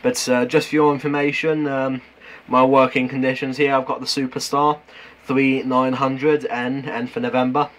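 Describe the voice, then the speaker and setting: A British man in casual two-way radio chat, halting and matey, using operator jargon. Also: mid-range voice, level tone. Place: at home beside a CB radio set. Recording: noisy room with radio hiss.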